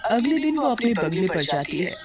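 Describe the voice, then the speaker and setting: Woman narrator over loud music. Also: medium pitch, big pitch swings, moderate pace. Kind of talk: scripted cartoon story narration.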